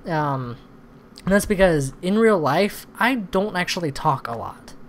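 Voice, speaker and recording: A man doing casual let's-play commentary, thinking aloud in a rambling way, with a slightly hoarse voice. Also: high voice, animated, unhurried. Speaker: host of a gaming channel. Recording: noisy recording with background ambience.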